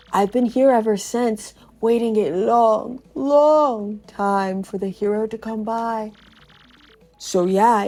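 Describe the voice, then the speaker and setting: A cursed spirit locked in a treasure chest, played by a woman, swaggers through a video game story. The voice is fairly high, slow and mellow, full of casual slang and sly salesmanship. Music plays behind it. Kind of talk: dramatic video game read-aloud.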